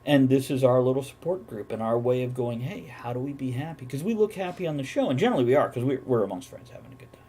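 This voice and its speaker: A man's voice: mid-range voice, animated, fast talker, dark tone, slightly rough. A man hosting a casual chat show, talking bluntly and swearing freely as he banters. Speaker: host of a talk show.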